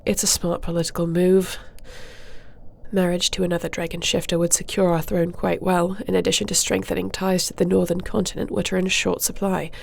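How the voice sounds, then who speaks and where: A woman playing a formal, dutiful dragon-shifter princess in an audio roleplay, speaking in a measured, regal and diplomatic manner. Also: fairly low pitch, little pitch movement, vocal fry, darkish tone, slight background noise. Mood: resigned, pragmatic. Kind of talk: scripted audio roleplay acting.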